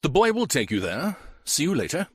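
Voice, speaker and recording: A hurried middle-aged businessman in a mystery audiobook, curt and eager to move on, as a male narrator puts him on. His speech bounces lively and sounds dry and close. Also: a little high, breathy edge, dry recording, voice pushed up, exaggerated voice. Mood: brisk.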